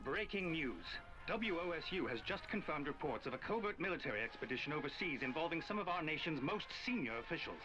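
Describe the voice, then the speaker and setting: An urgent old-time American radio announcer, dramatic and animated in a raised pitch. The sound is dull and radio-filtered, like a vintage bulletin, with music. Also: male voice, a little fast. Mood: urgent, sensational. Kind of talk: 1920s radio newscast acting in a game.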